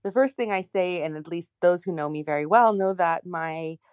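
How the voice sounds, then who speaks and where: A woman from Poland of Ukrainian family, speaking with a slight Ukrainian accent in a chatty, lively way as a podcast guest. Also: lowish voice, moderate pace, clean tone, no room sound. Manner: lively, chatty, self-deprecating asides. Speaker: political scientist guest. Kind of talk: interview answers.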